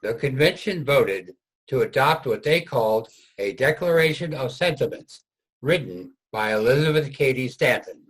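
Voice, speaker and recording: Guy narrating a history stage reading, raspy voice, medium pitch. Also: lively intonation, normal speed, poor audio. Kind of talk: scripted historical narration for reader's theater.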